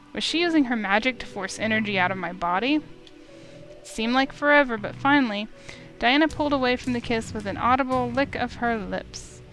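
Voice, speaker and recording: A woman reading a visual novel aloud as an expressive, dramatic narrator. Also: on the high side, low-quality recording. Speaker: let's play streamer. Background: music in the background.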